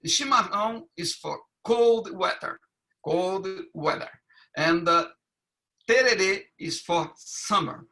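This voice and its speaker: A man giving a webinar presentation, halting with many fillers and restarts. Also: sits high, lively intonation, pushed and bright. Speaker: business presenter.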